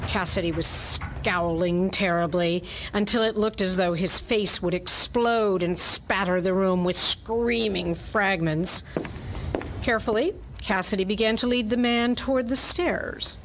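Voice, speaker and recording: A woman's voice: medium pitch, natural voice. A woman acting as narrator of a hardboiled detective story, reading scripted prose in a dramatic storytelling style. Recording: clearly audible background noise.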